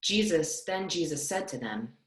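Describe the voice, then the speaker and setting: A young woman with a notably low voice reads scripture as narrator. Her delivery is measured and solemn. The sound is a roomy, echoing video call from a small home room. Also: animated. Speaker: clergy member reading as narrator. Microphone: computer on a video call.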